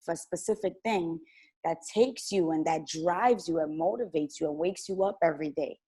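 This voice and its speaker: A woman's voice: fairly low pitch, normal speed, mellow, husky. A woman hosting an interview, asking long and eager questions that ramble. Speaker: host.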